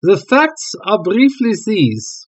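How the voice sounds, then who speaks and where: A man's voice: high-pitched, wildly swooping pitch, slow, pitched above the performer's own voice, heavily acted. A man voicing a haughty, agitated European king who speaks in a formal, stately way. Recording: dull lo-fi recording.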